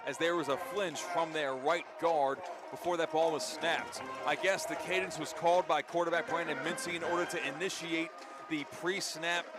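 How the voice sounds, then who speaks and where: Male football play-by-play announcer, high-pitched, energetic and vivid, live radio broadcast with music behind. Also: moderate pace.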